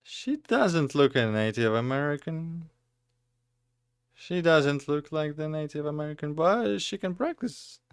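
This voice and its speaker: A man's voice: a little high, lively intonation, measured pace, clean tone, no room sound, studio quality. A man from Russia, giving casual offhand reaction commentary on a live stream. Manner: offhand remarks, loose grammar.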